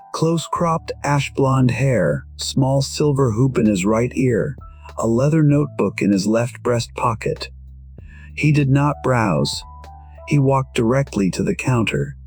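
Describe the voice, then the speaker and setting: A man voicing a weary, proud musician in his sixties, narrating an audio drama in a measured, reflective way. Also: mid-range voice, darkish tone, breathy edge, exaggerated voice. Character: weary, proud 62-year-old male musician, quietly regretful. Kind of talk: first-person scripted narration, audio drama.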